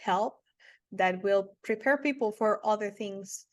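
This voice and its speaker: Woman, interviewer, average pitch, effusive and admiring, casual livestream chat, dry recording without room echo. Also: measured pace. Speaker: interviewer, show host.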